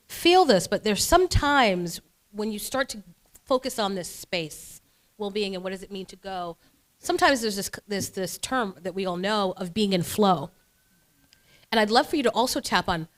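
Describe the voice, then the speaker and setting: A woman hosting an interview who asks long, enthusiastic, rambling questions. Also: medium pitch. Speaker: interview host. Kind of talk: interview conversation.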